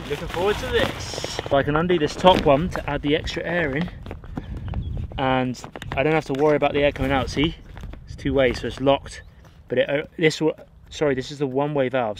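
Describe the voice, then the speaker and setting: A man in his thirties from the UK, chatting casually and excitedly to camera while setting up gear outdoors. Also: mid-range voice. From United Kingdom. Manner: upbeat, chatty, slangy. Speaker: outdoor vlogger. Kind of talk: casual show-and-tell to camera. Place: windy pebble beach outdoors. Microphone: camera mic outdoors. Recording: wind noise on outdoor mic. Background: wind and shore ambience.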